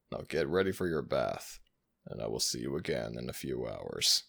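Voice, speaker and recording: Man playing a jackal god, ancient, proud, teasing, husky, lively pitch swings, slow formal delivery, audio roleplay. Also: heavily acted. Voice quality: gravelly, husky. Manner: slow, drawn-out, formal speech. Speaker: voice actor.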